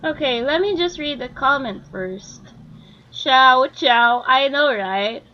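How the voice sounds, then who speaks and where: A woman's voice: sits high, lively intonation, takes it slowly, dull audio. A woman chatting casually with viewers as she livestreams a game, reacting in short interjections. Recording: game music behind voice.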